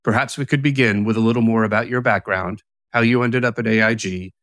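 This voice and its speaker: A man hosting a podcast, reading his introductions and questions in a formal, measured way. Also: on the low side, steady tone, fairly bright tone, no echo, clean studio sound. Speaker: podcast host. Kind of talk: scripted interview questions.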